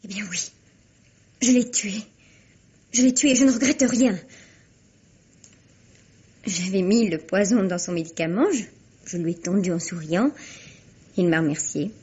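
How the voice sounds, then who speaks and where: A woman's voice: medium pitch, unhurried, pauses often, warm tone, breathy edge. An actress playing a proud young woman of the stage, icily polite and sarcastic, in a 1950s style French radio drama. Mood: confessing, theatrical. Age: young adult. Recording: dull, muffled vintage radio recording.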